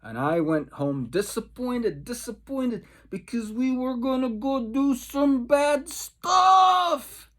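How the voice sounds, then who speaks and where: A man from the Caribbean, a pastor leading a devotional Bible study, tells personal stories emphatically, repeating phrases and pausing for effect. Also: very high-pitched, animated, a bit bright.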